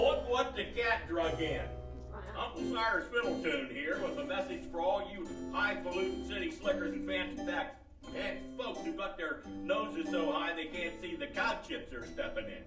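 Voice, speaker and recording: High-pitched folksy country cracker huckster. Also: male voice. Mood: scornful, boisterous. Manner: colorful rural slang, cantankerous salesmanship. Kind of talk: old-time radio commercial acting. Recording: roomy, distant live recording with music. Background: string instrument music.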